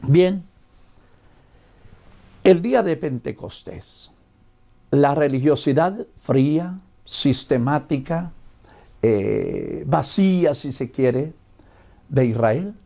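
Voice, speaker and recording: An older man with a Guatemalan accent giving a religious lecture in a deliberate, expository manner, as a missionary teacher would. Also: fairly high pitch, lively intonation, creaky, mellow.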